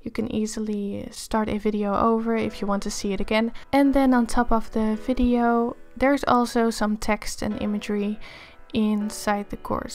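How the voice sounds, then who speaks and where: Young Dutch-accented woman, hoarse, upbeat art tutorial host; higher voice, faint music bed. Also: a little mellow. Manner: chatty and upbeat. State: hoarse, losing her voice from a cold. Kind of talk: casual voice-over for a drawing time lapse.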